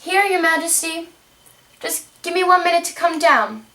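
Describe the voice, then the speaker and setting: A woman's voice: extremely high pitch, normal speed, bright, forceful tone, pitched above the performer's own voice. A woman voicing a polite, curious young girl with an earnest manner in an amateur puppet show. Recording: roomy, distant microphone.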